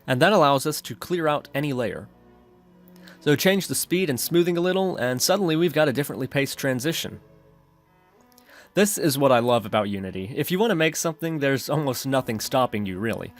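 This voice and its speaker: A man narrating an instructional screencast as the host of a software tutorial. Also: medium pitch, expressive, brisk, faint music behind.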